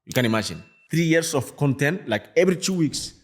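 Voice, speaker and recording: A young man from Mali talks fast and keeps up a lively, bouncing delivery. He speaks English as a second language, with simple phrasing. He is a musician telling stories as a podcast guest. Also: fairly high pitch. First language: a Malian language. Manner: fast, animated talk in non-native English, acts out quoted people. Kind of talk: casual podcast chat.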